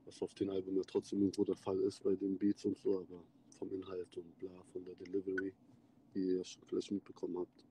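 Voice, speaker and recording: A man's voice: low voice, monotone, fast talker, low-quality recording. A man, chatting casually on a phone livestream from the back of a taxi in slangy, laid-back talk. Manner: laid-back slangy talk, drifting between loud and mumbled. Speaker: rapper hosting a livestream. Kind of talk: casual livestream chat with fans. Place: back seat of a taxi at night. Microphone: phone held close, front camera. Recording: phone audio with car noise.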